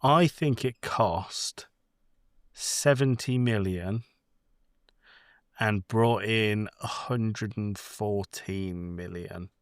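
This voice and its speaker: A man's voice: on the low side, extremely slow, dry recording, clean studio sound. A man co-hosting a podcast, talking casually about film in a blunt, wry way with some swearing. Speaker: podcast host.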